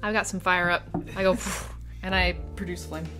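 A woman's voice: lowish voice, fairly even intonation, normal speed, bright, forceful tone. A woman playing a tabletop fantasy game, talking casually in character. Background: background music.

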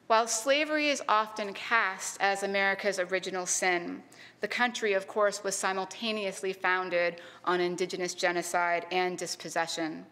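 A middle-aged woman from Canada, a history lecturer, reads a prepared public lecture in a measured, earnest way with deliberate emphasis. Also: mid-range voice, steady tone, bright, forceful tone. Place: lecture hall. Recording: long hall reverb.

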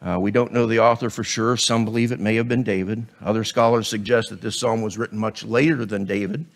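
A man's voice: on the low side, steady tone, a little fast, gravelly. Scratchy-voiced man preaching from a pulpit. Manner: conversational, joking asides between scripture. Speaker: guest preacher. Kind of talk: sermon. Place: church stage at a pulpit. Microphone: headset mic.

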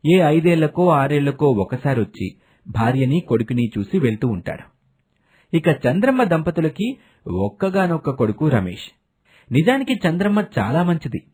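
A man's voice: fairly high pitch, darkish tone, husky. A man narrating a scripted moral story as a storyteller.

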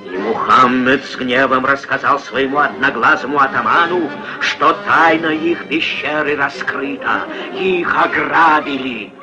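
A man narrating a fairy-tale film in an expressive, scripted storytelling style. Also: medium pitch, measured pace. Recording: muffled old film soundtrack. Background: music.

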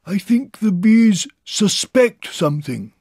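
Guy doing a storybook toy bear, high husky voice, solemn and a bit anxious, very earnest schemer. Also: big pitch swings, measured pace, dry recording, clean studio sound, exaggerated voice. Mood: secretive, calling out. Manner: ponderous, solemn, calling out loudly. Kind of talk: children's storybook character reading.

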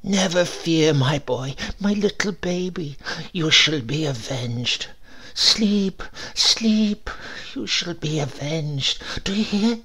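A man's voice: on the high side, lively intonation, slow pace, pushed and bright, husky, voice pushed up, exaggerated voice. A man putting on a grieving old widow's crooning voice. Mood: crooning vow. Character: grieving old widowed mother vowing revenge.

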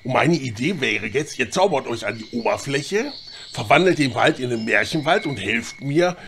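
A man's voice: mid-range voice, animated, moderate pace, a bit bright, slight background noise. A man voicing a homely, plain-spoken, hesitant male deer in a comedy audio drama. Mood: laid-back advice. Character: dim-witted then wise male deer, slow and homely.

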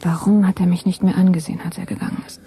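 An actress playing a tired woman teacher in her thirties, speaking a halting, reflective inner monologue in a radio drama. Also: fairly low pitch, little pitch movement, warm tone, natural voice. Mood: wistful. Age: 30s. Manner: reflective, halting, short fragmented phrases. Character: tired, pensive 32-year-old woman teacher, dreamily self-observing.

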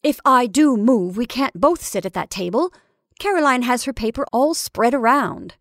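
A woman's voice: on the high side, lively intonation, normal speed, dry recording, studio quality, voice pushed up. An impatient storybook wife, voiced by a female reader, scolds and insists in a peremptory manner. Her voice is slightly raised and very closely, dryly recorded. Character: impatient, peremptory married woman busy with sewing.

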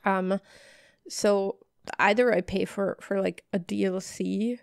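A woman's voice: mid-range voice, fairly even intonation, takes it slowly, gravelly, clear, resonant tone, dry recording. A female podcast co-host talking in a normal voice in casual chat, dry, matter-of-fact and opinionated.

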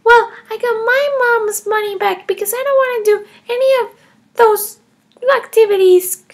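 A woman voicing a defiant, bratty kindergarten girl in a comedy skit, with a high, whiny child's voice and a sassy, stubborn way of talking. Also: normal speed. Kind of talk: comedy skit role-play.

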